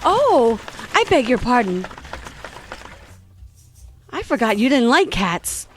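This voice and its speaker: A woman's voice: on the high side, very animated, slow pace, faint music behind. A woman playing a chattering little girl. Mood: chattery. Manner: chattery, earnest, easily flustered. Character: curious, polite little girl. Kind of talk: audio drama acting.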